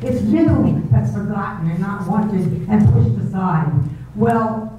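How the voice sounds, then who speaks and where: A woman voicing a cold, cruel female housekeeper in a 1930s-style radio drama, talking in a low, insinuating, taunting way. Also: animated, takes it slowly, dark tone. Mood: taunting, menacing. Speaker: reader in an amateur theater group. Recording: echoey tinny hall recording from far off, with noise.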